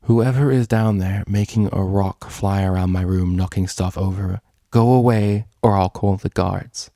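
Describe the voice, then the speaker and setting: A man's voice: low-pitched, fairly even intonation, a little slow, dark tone, dry recording, clean studio sound. A sleepy storybook mare, acted by a man, speaks wearily and bluntly. She is a grumpy but kind shopkeeper. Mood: irritated. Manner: weary, blunt.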